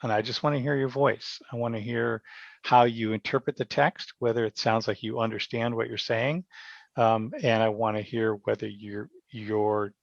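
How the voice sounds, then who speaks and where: A man with a gravelly edge to his mid-pitched voice talks as an interview guest, explaining his approach in a conversational way. He circles back to rephrase his points. The sound is dry and slightly dull. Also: flat delivery, moderate pace, dry recording. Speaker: radio theatre director, podcast guest.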